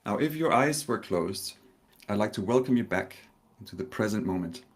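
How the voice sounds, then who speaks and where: A man from Denmark, in his thirties, giving a live online conference talk, telling a story slowly with pauses and a touch of nerves. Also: on the low side, lively intonation. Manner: warm storytelling with pauses, slightly nervous. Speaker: conference speaker.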